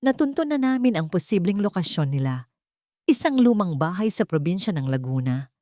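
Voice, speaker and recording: A woman as male security head, audio drama, respectful report to the boss, slightly raised pitch, dry recording. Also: sing-song, normal speed, mellow, no room sound. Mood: urgent report.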